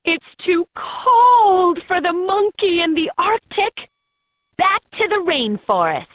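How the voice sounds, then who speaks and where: Lively, unhurried woman narrating a kids' game. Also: extremely high pitch, no echo. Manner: clear, expressive, instructive delivery for children. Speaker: narrator of a children's learning game. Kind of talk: scripted educational game narration. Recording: slightly dull, lo-fi game audio.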